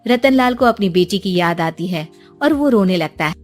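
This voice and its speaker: A woman's voice: medium pitch, lively intonation, moderate pace, faint music behind. A woman reading scripted story narration as a storyteller for a cartoon tale.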